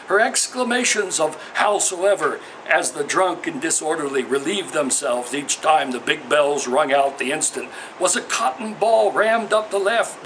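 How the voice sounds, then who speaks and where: A man with a fairly high voice reads poetry aloud. He delivers it in a measured, declamatory way, like a formal literary recital. There is some faint background noise in the recording. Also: a bit bright. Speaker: poet reading own work. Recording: noisy ambience behind the voice.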